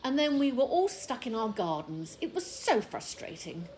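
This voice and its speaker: A woman's voice: a little high, sing-song, moderate pace, a little mellow, slight background noise. A woman playing an indignant, fussy village wife in an audio play, huffy and emphatic. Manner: huffy, put-upon, emphatic.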